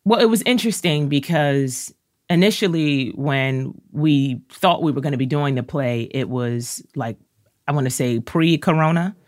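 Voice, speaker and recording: A woman speaking as an interview guest, animated and expressive. She lifts her voice high whenever she quotes or plays a line, then settles back down. The sound is dry and close, with no room around it. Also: normal speed, dry recording. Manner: expressive, acts out lines and quotes with rising pitch. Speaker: actor and musician guest.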